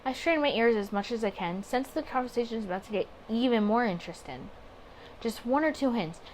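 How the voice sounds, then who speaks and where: A woman's voice: a little high, expressive, a little fast, a little mellow, slight background noise, low-quality recording. A woman doing a casual game playthrough, chatting expressively as she reads story text aloud and reasons through her reactions. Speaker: gaming video creator.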